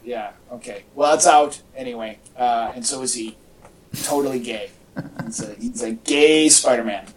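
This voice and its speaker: A man's voice: mid-range voice, moderate pace, a crowd in the background. Jokey man podcasting from off the mic. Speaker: podcast host. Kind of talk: casual rambling chat. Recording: distant mic, echoey in parts.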